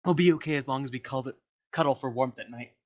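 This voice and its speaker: A man's voice: medium pitch, fast, darkish tone, close to an everyday voice. Man acting out emphatic, clingy older man. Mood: eager, pushy. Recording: dull lo-fi voice chat audio.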